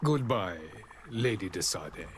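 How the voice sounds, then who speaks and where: Stately, animated ambassador. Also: male voice, fairly low pitch, slow pace, noisy background. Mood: courteous, wondering. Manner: diplomatic, stately, eloquent. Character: dignified male ambassador, courteous, proud, measured. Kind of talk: formal scripted game dialogue.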